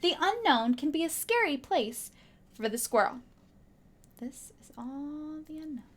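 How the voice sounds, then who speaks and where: Slow, animated high-voiced woman reading aloud. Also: slight background noise. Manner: expressive, dramatic emphasis, drops into hushed asides. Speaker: teacher reading a picture book aloud. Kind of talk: children's story read-aloud with asides to young listeners.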